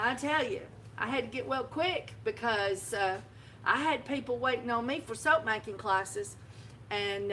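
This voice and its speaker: Chatty woman with a bright voice. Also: fairly high pitch, slight background noise. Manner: rambling, chatty storyteller who laughs often. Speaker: soap shop owner hosting a live video. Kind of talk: casual live-stream chat. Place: in her own shop. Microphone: phone live video.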